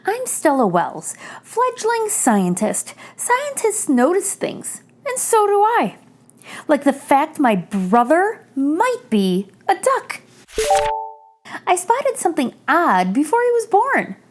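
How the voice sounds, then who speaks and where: A woman reading a children's picture book aloud in an animated, deliberate storytelling manner. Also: very high-pitched, faint music behind.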